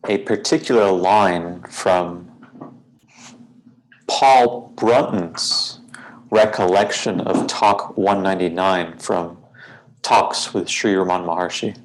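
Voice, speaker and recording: A man leading a philosophical guided meditation in a measured, deliberate way, with formal phrasing and frequent pauses. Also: sits low, rough recording.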